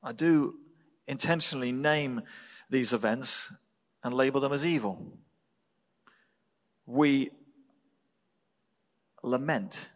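English pastor preaching in a reverberant hall. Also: male voice, mid-range voice. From England. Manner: measured, deliberate short phrases with pauses. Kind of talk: sermon.

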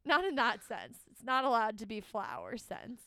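A woman podcast host chatting casually in an animated, sarcastic, slangy style with comedic teasing. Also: on the high side, normal speed.

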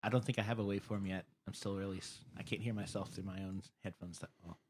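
A man's voice: low voice, fairly even intonation, fast, a little hiss or hum. Self-deprecating male podcast guest. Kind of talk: casual chat. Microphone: studio microphone.

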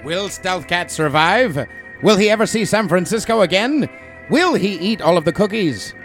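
A man's voice: high-pitched, animated, normal speed, a bit bright, soft background music. A man playing a theatrical, rambling serial announcer, narrating a mock cliffhanger in a comedy audio drama. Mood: mock suspense. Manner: theatrical, digressive. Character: melodramatic serial announcer with rambling comic questions.

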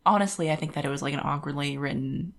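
Female podcast co-host, low-pitched, informal and conversational, casual book-club discussion. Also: fast talker, creaky.

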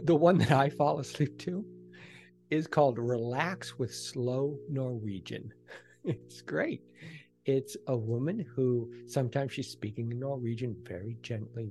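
A man's voice: mid-range voice, vocal fry, darkish tone. A man speaking as a podcast guest, giving conversational answers and recommendations. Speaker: podcast guest, ASMR creator and author.

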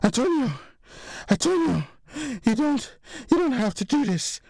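A man's voice: very high-pitched, big pitch swings, a little slow, creaky, darkish tone, dry recording, studio quality, pitched above the performer's own voice, full character voice. A man voicing a terrified, defiant woman in a crime story, pleading and crying out through tears. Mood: desperate pleading. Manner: screaming, pleading, tearful.

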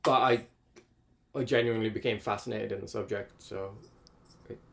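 A man's voice: lowish voice, fairly even intonation, normal speed, creaky, a bit bright. A man, talking casually as an interview guest in a rambling, self-correcting way. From northeast England. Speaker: climate researcher guest.

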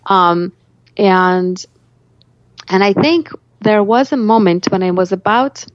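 A woman's voice: mid-range voice, expressive, takes it slowly, dry recording, highs cut off. A woman with a Russian accent telling reflective personal stories as an interview guest, often voicing her own thoughts aloud. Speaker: life coach, podcast guest.

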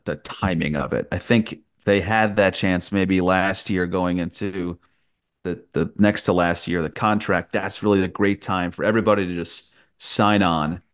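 A man's voice: low-pitched, moderate pace. A man who works as a sports broadcaster, giving conversational sports analysis as a podcast guest.

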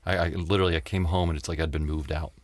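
An American man telling stories casually as an interview guest, with a wry, deadpan way of joking. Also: a bass voice, very fast, no echo. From Tennessee and Mississippi, United States. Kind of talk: casual conversational storytelling.

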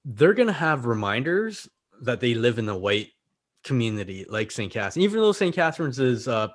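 A man's voice: medium pitch, sing-song, fairly quick. A man, whose was Korean, talking as a podcast guest in a reflective, earnest way and often checking in with "right?". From Canada. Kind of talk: casual conversation.

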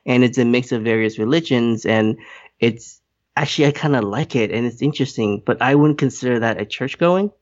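A man chats loosely as a podcast guest, joking and filling pauses with "like" and "um". His remote audio sounds a bit dull. His pitch sits in the middle. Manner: loose, with many fillers, joking. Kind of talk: casual conversation.

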